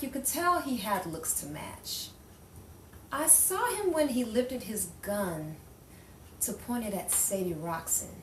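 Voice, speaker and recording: A woman playing a frightened, distrustful crime witness in an improvised noir radio play. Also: on the high side. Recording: echoey room, distant mic, faint noise.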